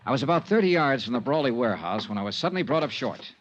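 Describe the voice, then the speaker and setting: Muffled vintage radio recording, male actor as tough American private investigator, wry, clipped, dramatic, lively intonation. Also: mid-range voice, very fast, a little hiss or hum, lightly acted. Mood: tense, suspenseful. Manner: terse, clipped, dramatic delivery. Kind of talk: 1950s radio detective acting, first-person narration and dialogue.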